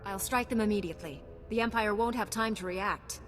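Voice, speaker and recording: An actress playing a righteous young warrior knight in a video game, composed, firm and formal. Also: female voice, medium pitch, fairly quick, fairly bright tone, soft background music, close to an everyday voice. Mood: resolute. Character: resolute, righteous young female Jedi knight. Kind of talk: scripted video game cutscene acting.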